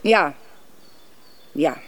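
An actress playing a weary, irritable wife in a radio drama, speaking in a terse, reproachful way. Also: female voice, drawn-out words, a bit bright. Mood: irritated. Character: weary, irritable wife seeking escape from routine. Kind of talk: German radio drama acting.

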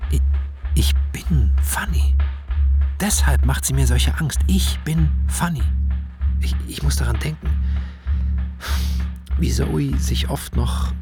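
A man in a radio drama playing a defensive, self-loathing author who speaks in halting, agitated repetitions. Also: medium pitch, natural voice. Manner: halting, repeats words, agitated. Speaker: actor in a radio play. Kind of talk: radio drama monologue, intimate confession. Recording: music behind. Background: music.

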